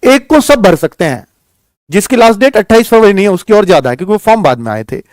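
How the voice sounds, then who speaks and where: A man's voice: high voice, sing-song, quick pace, a little mellow, dry recording. A man giving an informal coaching talk, explaining things to students and checking in with them often. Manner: explanatory, addresses students as children, frequent check-in phrases. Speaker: coaching teacher and channel host announcing a class.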